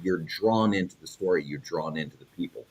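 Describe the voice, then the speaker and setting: A man's voice: low-pitched, a little fast, a little mellow, slightly rough. A man chatting casually as a panelist, speaking with enthusiasm and emphasis. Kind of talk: casual chat.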